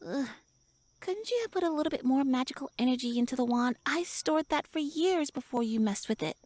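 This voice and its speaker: A woman's voice: high voice, lively intonation, fairly quick, darkish tone, no room sound. A woman voicing a tiny, pouty tsundere elf with little wings, flustered, huffy and indignant, speaking to the listener in a roleplay audio drama.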